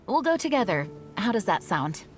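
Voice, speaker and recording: A woman's voice: mid-range voice, big pitch swings, normal speed, natural voice. A woman voicing a composed, caring young knight commander in a video game. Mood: concerned, gentle. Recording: clear music behind the voice.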